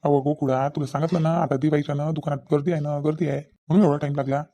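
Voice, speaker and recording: A man's voice: a little high, little pitch movement, racing, mellow, natural voice. A man voicing a defensive, irritable village husband and father in a comedy cartoon, with a rural Vidarbha Marathi accent, arguing and repeating himself. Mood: irritated, defensive. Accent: rural Maharashtrian, Vidarbha. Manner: argumentative, self-justifying, repeats himself.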